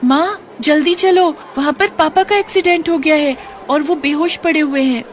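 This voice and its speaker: A woman's voice: high-pitched, normal speed, background noise, voice pushed up, close to an everyday voice. A woman voicing an anxious, caring little girl in a cartoon moral story. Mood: worried, urgent.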